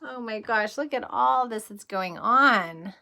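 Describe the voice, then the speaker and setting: Kindergarten teacher type, woman, unhurried storybook read-aloud with a crisp, bright tone. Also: on the high side. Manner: gentle, expressive storybook reading with questions to children. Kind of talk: children's picture book read-aloud.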